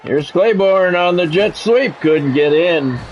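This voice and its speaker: A man's voice: high-pitched, animated, slow, a little hiss or hum. A man livestreaming a game, mixing casual, opinionated chat with sports play-by-play commentary. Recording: dull lo-fi audio, music behind. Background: music.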